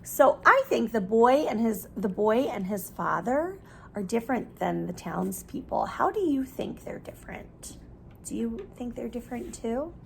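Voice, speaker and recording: A woman with a high voice that often drops into creak reads a story aloud to children. She is animated and teacherly, explaining words in simple terms and putting questions to her listeners. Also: measured pace, a little hiss or hum. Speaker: teacher reading a picture book aloud to children.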